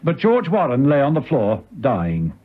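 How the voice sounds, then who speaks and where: A man as the narrator of a spy thriller radio serial, telling the story in a measured, dramatic way. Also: mid-range voice, huge pitch swings, dry recording. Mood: suspenseful storytelling. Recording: dull old radio recording with music.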